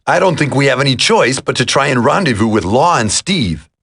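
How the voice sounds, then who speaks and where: A man voicing an easygoing demolitions commando in a video game, droll, with a gravelly, husky voice. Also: mid-range voice, very animated, a little fast, a bit bright, full character voice.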